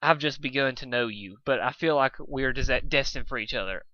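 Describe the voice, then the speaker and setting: A man's voice: mid-range voice, normal speed, bright, forceful tone, no echo, dull audio, lightly acted. A man voicing a chivalrous, reassuring stallion suitor in a romantic storybook reading, gallant and tender. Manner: gallant, well-intentioned, tender.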